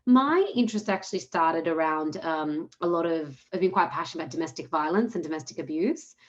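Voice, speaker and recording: Earnest woman on a dull video call. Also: sits low. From Australia. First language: Punjabi. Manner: earnest, fast-flowing with frequent self-corrections. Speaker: founder presenting her program. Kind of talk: online talk presentation.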